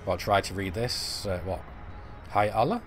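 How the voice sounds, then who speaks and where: Middle-aged man, game streamer, rambling chat to viewers, soft music under it. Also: on the low side, expressive, moderate pace. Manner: chatty, rambling, answers chat, frequent fillers and restarts. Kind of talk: casual live-stream chat while playing.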